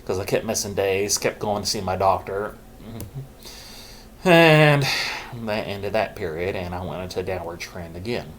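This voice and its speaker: Low-voiced man telling his story haltingly. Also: a little hiss or hum. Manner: halting and reflective, with pauses and restarts; says he is keeping his loud voice low on purpose. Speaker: vlogger sharing his mental health story. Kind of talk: candid personal storytelling.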